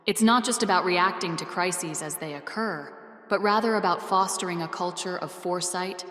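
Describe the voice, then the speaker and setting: A woman playing a solemn, benevolent otherworldly guardian, delivering scripted proclamations in a formal, grandiose, measured manner. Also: on the low side, fairly bright tone, close to an everyday voice. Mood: solemn, steadfast. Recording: echoey, long reverb, faint music behind.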